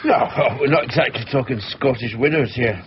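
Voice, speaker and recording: An actor in a radio drama playing an earnest, calculating schemer who talks in a measured, hesitant, confiding way. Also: male voice, mid-range voice, close to an everyday voice. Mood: businesslike, conspiratorial. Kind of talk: naturalistic 1990s radio drama acting. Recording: dull radio recording, faint noise.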